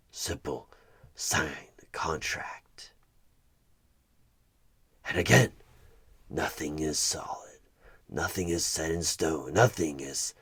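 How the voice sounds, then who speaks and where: A man playing a sinister evil tempter in a horror ASMR roleplay, coaxing and menacing in a slow, raspy half-whisper as a job interviewer. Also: rumbling low, a bit bright, full character voice. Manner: slow, deliberate, persuasive, repeating words for emphasis.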